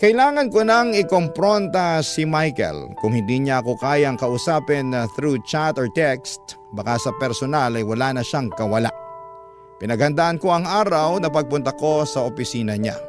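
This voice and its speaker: A man's voice: mid-range voice, normal speed. A man narrating a scripted first-person story in the style of a radio drama. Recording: music under the narration. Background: background music.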